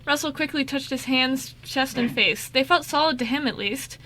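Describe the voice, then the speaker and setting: A woman's voice: sits high, normal speed, bright, forceful tone, slight background noise. A woman narrating a scripted radio drama, reading expressively.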